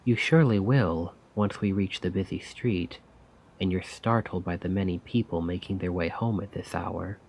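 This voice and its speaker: A soft-spoken man playing a melancholy, self-doubting poet in an ASMR roleplay, musing wistfully in formal, poetic words with a breathy voice. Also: low-pitched, normal speed, heavily acted, low-quality recording. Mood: wistful, tender.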